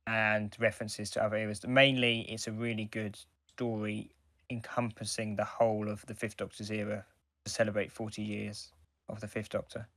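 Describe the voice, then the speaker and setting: Low-pitched man chatting on a podcast, dry sound. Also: flat delivery, moderate pace, fairly bright tone, no echo. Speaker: podcast co-host and reviewer. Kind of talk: casual review chat.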